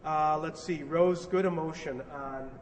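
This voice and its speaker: Higher-voiced male director in an echoey hall. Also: steady tone, unhurried, a little hiss or hum. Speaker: radio play director. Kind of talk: giving rehearsal notes to a cast. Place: large hall at a rehearsal. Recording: echoey hall, distant, music behind.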